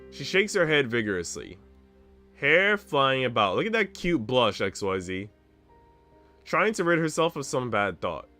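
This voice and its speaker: A man's voice: medium pitch, pushed and bright. A man streaming a visual novel, reading its narration aloud expressively with casual commentary.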